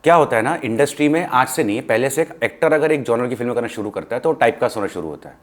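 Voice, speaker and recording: A man's voice: medium pitch, lively intonation, very fast. An Indian man in a casual film discussion, speaking emphatically and giving firm opinions, mixing English phrases into his Hindi.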